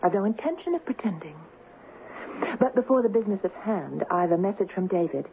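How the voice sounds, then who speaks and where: An actress playing an earnest, hesitant young schoolteacher in love, in a 1970s radio drama. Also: female voice, mid-range voice, animated, a little fast, lightly acted. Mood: firm, pleading. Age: young adult. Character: earnest young schoolteacher, a woman engaged to a rich heir. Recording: muffled, noisy 1970s radio broadcast.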